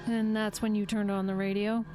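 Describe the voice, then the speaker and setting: An actress playing a controlled psychologist in an audio drama, putting short, pointed questions to a patient. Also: female voice, mid-range voice, flat delivery, moderate pace, music in the background, close to an everyday voice. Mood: probing.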